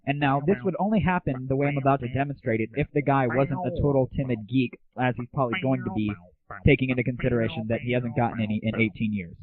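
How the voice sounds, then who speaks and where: A man's voice: medium pitch, steady tone, quick pace, crowd noise. A man hosting an internet audio show, delivering a crude, sarcastic and casual comic rant. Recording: narrow, tinny lo-fi internet audio.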